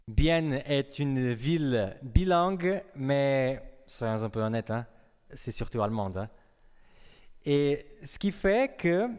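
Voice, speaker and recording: Young male preacher, fairly high voice, chatty with the congregation, reverberant church hall. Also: a little mellow. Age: young adult. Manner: conversational, asks the congregation questions. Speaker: pastor. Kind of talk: sermon.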